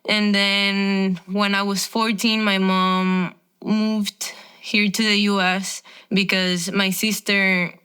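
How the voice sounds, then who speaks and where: A woman's voice: mid-range voice, little pitch movement, takes it slowly, pushed and bright, clean tone. A young woman from Venezuela, a professional tennis player, chatting casually as an interview guest with lots of filler words. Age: 20s.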